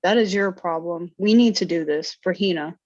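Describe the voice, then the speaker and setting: A woman's voice: lowish voice, normal speed, a little mellow, no room sound, lo-fi sound, lightly acted. A woman playing a loyal, insistent young woman in a comic-book table read. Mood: indignant.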